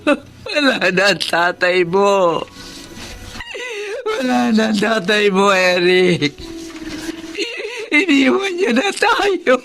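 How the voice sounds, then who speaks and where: A woman's voice: mid-range voice, sing-song, slow, long pauses, fairly bright tone, faint music behind, full character voice. An actress playing a tender, worried middle-aged Filipino mother in a naturalistic TV drama, speaking with a Filipino accent. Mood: grieving. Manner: emotional, maternal. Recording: faint background noise, location ambience.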